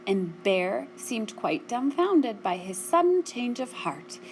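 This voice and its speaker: A woman's voice: fairly high pitch, big pitch swings, slow, echoey room, a little hiss or hum, low-quality recording. A woman hosting a library story time, reading a picture book and chatting simply to young children with questions.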